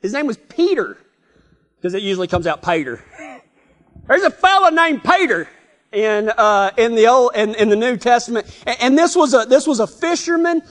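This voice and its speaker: A man with a Texan drawl preaches in a loose, storytelling way, restarting his sentences and chuckling as he goes. His voice sits high for a man and rises and falls, and it carries a long echo from a big hall. The tone is plain and folksy. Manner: rambling, self-correcting, chuckling storyteller.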